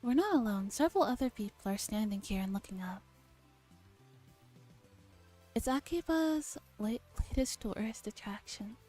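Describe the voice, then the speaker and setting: High, soft-edged woman streamer reading aloud. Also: expressive, a little fast. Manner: playful, acts out dialogue while reading. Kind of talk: ASMR game stream, read-aloud and chat. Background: game music.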